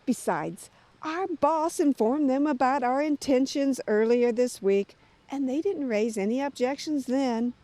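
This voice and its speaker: Determined female reporter, played by a woman, audio drama: high, soft-toned, earnest and polite. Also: unhurried. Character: determined, independent young female magazine reporter.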